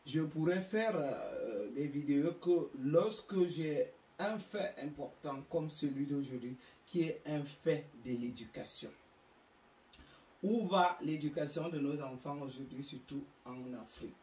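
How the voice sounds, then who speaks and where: Slow, preachy woman with African French accent. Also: deep voice, mellow, echoey room, recorded from a distance, rough recording. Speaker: YouTube channel host. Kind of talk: moralizing monologue to camera.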